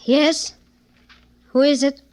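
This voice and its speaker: An actress playing an anxious, pleading young moll of a gangster in a 1940s radio melodrama. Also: female voice, high-pitched, steady tone, takes it slowly, a little mellow. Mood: fearful, pleading. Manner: plaintive, reluctant. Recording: faintly noisy 1940s radio recording.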